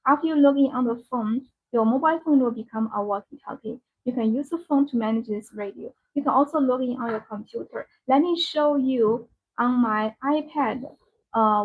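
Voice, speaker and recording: Female live-stream seller, high-pitched with a breathy rasp, halting and repetitive non-native delivery, unscripted demo style. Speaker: live-stream sales host demonstrating a product. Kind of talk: unscripted live product demonstration. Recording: dull, band-limited live-stream audio.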